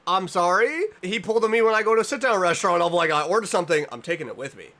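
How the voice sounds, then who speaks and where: A man's voice: high voice, animated, very fast, bright, forceful tone, a little hiss or hum. A man hosting a reaction video, reading aloud and adding casual commentary with wry, jokey asides.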